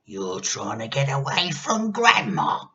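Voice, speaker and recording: A man voicing a nasty, gleefully menacing old grandmother in a storybook, with drawn-out, gloating delivery. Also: mid-range voice, huge pitch swings, sharp tone, lo-fi sound, exaggerated voice. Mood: sinister coaxing. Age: older. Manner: drawn-out, gloating, sometimes throaty whispering.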